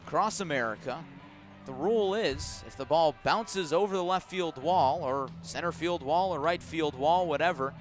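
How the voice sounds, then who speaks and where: A man doing live radio play-by-play of a baseball game, giving measured calls mixed with explanatory asides and dry understatement. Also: fairly high pitch. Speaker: baseball play-by-play announcer for a team's radio broadcast. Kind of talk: live radio sports commentary. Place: outdoor ballpark at night.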